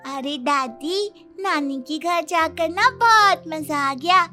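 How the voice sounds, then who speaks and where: A woman's voice: extremely high pitch, expressive, slow, pushed and bright, soft background music, heavily acted. A woman voicing a cheerful, affectionate little schoolgirl in a cartoon family story, sweet and eager.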